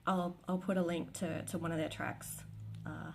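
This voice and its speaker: A woman's voice: fairly low pitch, level tone, normal speed, vocal fry, noisy background. A woman chatting casually and gushing about her favourite bands, rambling with lots of fillers. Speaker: vlogger. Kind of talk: casual chat.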